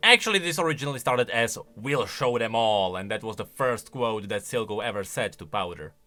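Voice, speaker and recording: A man giving unscripted reaction commentary on game voice lines in an animated, exclamatory way. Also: lowish voice, a little fast, soft background music. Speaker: YouTube commentator.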